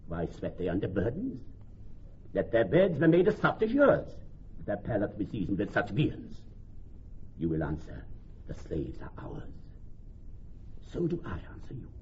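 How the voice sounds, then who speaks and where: An actor playing a vengeful, defiant moneylender in a Shakespearean radio drama, with theatrical, biting emphasis. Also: male voice, lowish voice, wildly swooping pitch, a little slow, long pauses, darkish tone. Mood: scornful, defiant. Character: vengeful, defiant male moneylender with bitter scorn. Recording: muffled, faintly noisy old broadcast recording.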